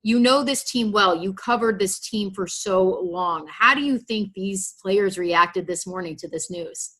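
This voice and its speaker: Woman, TV news anchor, bright crisp tone, mid pitch, broadcast interview style. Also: a little slow.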